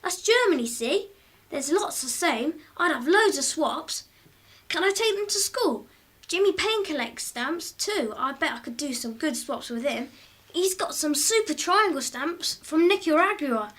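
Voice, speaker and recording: A woman acting in a radio drama as an eager, excitable, chattering young schoolboy. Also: high voice, unhurried. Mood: excited, eager. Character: eager, chattering young schoolboy son, keen stamp collector.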